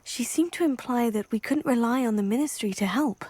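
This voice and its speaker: Composed young female student, played by an actress, highish voice. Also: darkish tone, dry recording, lightly acted. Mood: curious. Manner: measured, polite, self-possessed. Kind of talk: video game character acting.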